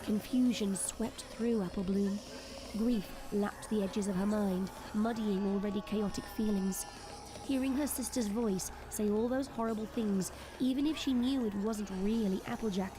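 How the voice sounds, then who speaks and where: A woman giving dramatic scripted narration for an audio drama, expressive and suspenseful. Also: mid-range voice, noisy background. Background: prominent background music.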